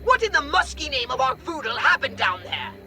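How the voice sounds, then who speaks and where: High, scolding alien's superior. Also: female voice, fairly quick, soft background music, exaggerated voice. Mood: exasperated. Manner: dry, scolding, expressive. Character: bossy, exasperated superior of an alien invader. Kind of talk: video game cutscene acting.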